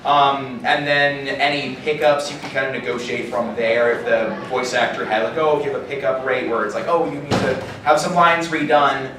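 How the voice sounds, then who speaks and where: A man's voice: medium pitch, steady tone, moderate pace, hardly any pauses. A man speaking casually and animatedly as a voice actor answering questions on a panel, with informal, slangy phrasing. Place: large indoor convention panel room. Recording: very echoey, distant, noisy convention room.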